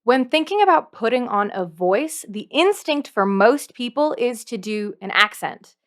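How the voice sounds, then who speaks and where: A woman's voice: on the high side, expressive, a little slow, fairly bright tone. A woman presenting a scripted how-to video in a playful, emphatic way, repeating points for effect. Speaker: dungeon master giving tips as video host. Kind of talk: scripted instructional video talk to camera.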